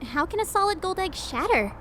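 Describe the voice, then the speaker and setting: A woman playing an excitable, earnest young rookie policewoman in an audio drama. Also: very high voice, a little fast, a little hiss or hum, exaggerated voice. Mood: curious.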